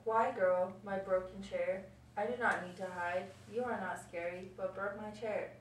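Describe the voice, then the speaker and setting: A woman playing a hurt young bear in a puppet show, delivering lines in a halting, deliberate way. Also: medium pitch, fairly even intonation, natural voice. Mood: hurt, accusing. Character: young bear upset over its broken chair. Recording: roomy, distant mic, faint noise.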